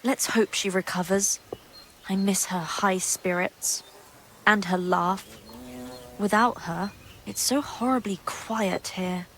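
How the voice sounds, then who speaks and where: A woman's voice: mid-range voice, fairly even intonation, slow pace, fairly bright tone. A woman playing a gossipy, guarded young monastery maid in a medieval video game drama, secretive and earthy in her talk. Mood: concerned. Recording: clear background ambient noise.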